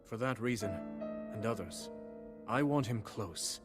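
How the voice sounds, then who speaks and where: An actor voicing a calm, wise king in a game cutscene, speaking in a measured, regal way. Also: lowish voice, lightly acted. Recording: game cutscene over music.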